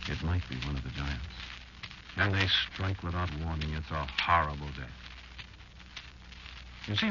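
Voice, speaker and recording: An actor playing a bitter, elderly insect lecturing a child in a slow, portentous old-time radio drama monologue. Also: male voice, low voice. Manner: slow, deliberate, portentous storytelling with dramatic pauses. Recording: muffled, noisy old radio show recording.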